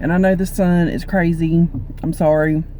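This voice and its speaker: A middle-aged woman with a hoarse, froggy voice, chatting casually and rambling while she drives. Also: lowish voice, flat delivery, normal speed, rough recording. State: hoarse from coughing. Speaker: vlogger. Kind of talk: casual chat while driving. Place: inside a moving car. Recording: loud car road noise.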